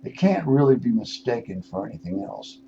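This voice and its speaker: An older man, in his sixties or beyond, hosting a radio show with a rambling spiritual monologue, musing and halting, then leaning into words for emphasis. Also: mid-range voice, sing-song, vocal fry, mellow. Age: 60s or older. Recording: dull lo-fi radio audio with noise.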